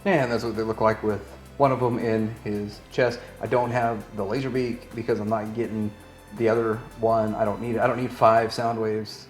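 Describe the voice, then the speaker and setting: A man's voice: on the low side, level tone, normal speed. A man presenting an unscripted product review, rambling in an easygoing way as he thinks aloud while handling items, with music behind him.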